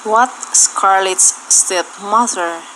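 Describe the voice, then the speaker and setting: A woman playing an inquisitive adopted daughter in an amateur audio drama, with a questioning, deliberate delivery. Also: medium pitch, pushed and bright, slight background noise, low-quality recording.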